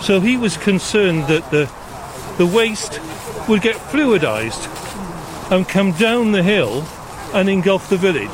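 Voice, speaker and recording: An older man from South Wales, explaining things in a measured way as an interview guest. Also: high-pitched, expressive. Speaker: interview guest, retired nuclear engineer. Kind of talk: explanatory conversation. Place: outdoors walking in a gorge. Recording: outdoor recording with clear traffic noise. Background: road traffic and outdoor ambience.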